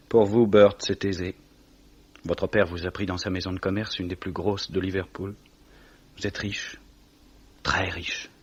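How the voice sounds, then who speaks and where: An actor playing a grieving, self-deprecating young gentleman just out of university, subdued and wry, in 1950s French radio drama. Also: low voice, husky, full character voice. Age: young adult. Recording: dull old radio recording.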